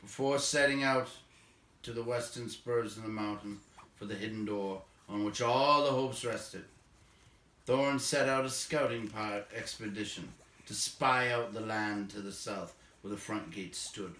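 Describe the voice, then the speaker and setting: A man narrating a story aloud in a measured, expressive way, letting phrase endings fall away quietly. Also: on the low side. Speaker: audiobook reader, narrator. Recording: roomy echo, distant microphone.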